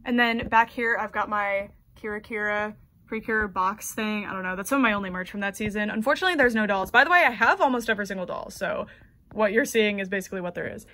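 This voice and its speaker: A woman's voice: mid-range voice, quick pace, bright, forceful tone. A young American woman with an American accent giving a chatty, gushing tour of her doll collection, full of ums and likes. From United States.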